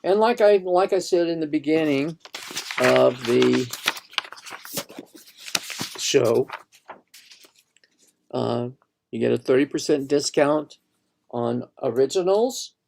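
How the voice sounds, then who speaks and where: A man's voice: on the high side, takes it slowly, creaky, long pauses, background noise. A man, a painter, casually walking viewers through his own online exhibition, rambling and thinking aloud with restarts. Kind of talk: casual live gallery tour.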